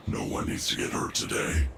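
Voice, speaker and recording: A gravelly gloating villain. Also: male voice, very animated, unhurried, echoey room, background noise, exaggerated voice. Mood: smug. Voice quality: gravelly, deep. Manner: taunting, smug. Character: scheming male villain, mocking and menacing.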